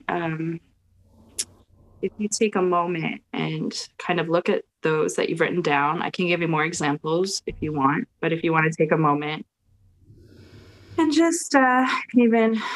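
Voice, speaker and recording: A woman on a video call gently guiding a mantra meditation in a soft, slow, soothing way. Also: fairly low pitch, slight background noise, poor audio. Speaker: guest leading a mantra exercise.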